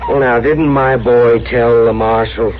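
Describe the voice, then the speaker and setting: Through a narrow, lightly hissing 1960s radio recording comes a male actor as a plainspoken horse rancher. He talks bluntly and folksily in a rural Western American accent, in a medium-pitched voice. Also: steady tone, unhurried, lightly acted. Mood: surprised, questioning. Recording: phone-like, faintly noisy vintage radio recording.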